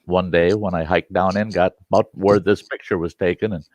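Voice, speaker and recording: A man's voice: low-pitched, fairly even intonation, a little fast, a little mellow, dry recording. A man joking and telling a story on a casual livestream chat. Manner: jokey, storytelling.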